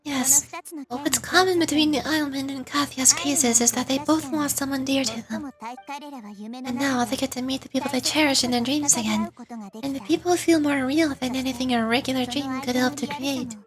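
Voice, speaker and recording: Woman voicing a high, earnest young goddess. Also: steady tone, moderate pace, crowd noise, close to an everyday voice. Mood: thoughtful. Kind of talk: dramatic read-aloud of game dialogue.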